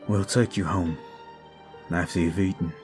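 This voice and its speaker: A man voicing a brooding male vampire who is weary and guilt-ridden, talking slowly and softly in an ASMR roleplay. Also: low voice, fairly even intonation. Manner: slow, soft, confiding. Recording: faint music behind.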